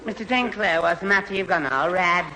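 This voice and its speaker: An actor playing a gormless, nervous young boyfriend in a radio sitcom farce, stammering and bumbling. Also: male voice, high-pitched, brisk, close to an everyday voice. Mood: alarmed. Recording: noisy old radio recording. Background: studio audience.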